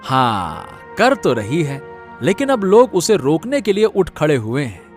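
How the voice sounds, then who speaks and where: A man's voice: fairly high pitch, wildly swooping pitch, measured pace, faint music behind, heavily acted. A man playing a middle-aged village farmer father in a cartoon, protective and emphatic in a rustic way. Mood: proud.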